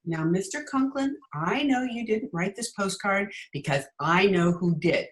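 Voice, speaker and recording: A woman playing a quick-witted schoolteacher in an old-time radio comedy, with a dry, wisecracking delivery. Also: mid-range voice, lively intonation, lightly acted. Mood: pleading. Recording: remote home recording over video call.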